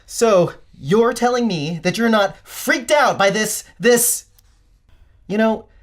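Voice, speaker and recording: An actress playing an excitable, incredulous young date in an audio drama. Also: female voice, medium pitch, slow, lightly acted.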